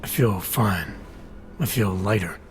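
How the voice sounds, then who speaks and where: A man's voice: deep voice, lively intonation, slow pace, soft background music. An actor playing a terse, guarded augmented male agent in a video game cutscene.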